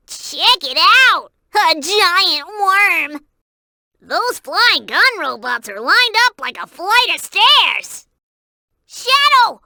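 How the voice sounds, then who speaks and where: A woman voicing an excitable, cute young creature sidekick in a video game, childlike and wide-eyed, exclaiming and giving hints. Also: very high voice, dry recording, clean studio sound, heavily acted. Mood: excited.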